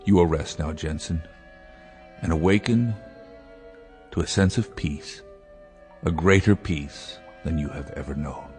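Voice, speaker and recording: A man's voice: a bass voice, unhurried, pauses often, darkish tone, faint music behind. A man voicing a commanding, persuasive inventor father in a radio drama. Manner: commanding, coaxing. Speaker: scientist.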